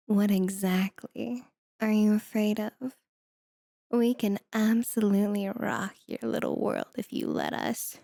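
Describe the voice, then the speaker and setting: Cooing, high-voiced playful demoness played by a woman. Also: creaky, darkish tone, dry recording, clean studio sound, full character voice. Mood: seductive, amused. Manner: cooing, teasing, mock-sweet delivery. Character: playful, doting, mischievous female demon. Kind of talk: flirty ASMR roleplay acting.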